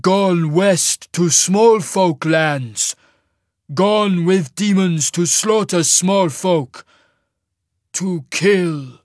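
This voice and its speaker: A man voicing a massive, mournful giant who speaks in halting, broken phrases with a rumbling voice, in a fantasy audiobook. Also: a little mellow, no echo, studio quality. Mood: grave. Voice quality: deep rumbling voice. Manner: slow, halting, clipped primitive phrases.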